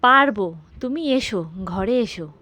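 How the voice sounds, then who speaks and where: A woman voicing an eerie, hospitable village ghost in an animated horror story. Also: a little high, moderate pace. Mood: inviting. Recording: prominent music behind the voice.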